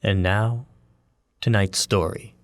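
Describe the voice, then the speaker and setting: A man playing a brooding story host, delivering scripted dramatic narration in a deliberate, rhetorical way. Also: fairly low pitch, fairly even intonation. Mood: musing. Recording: clear background train noise.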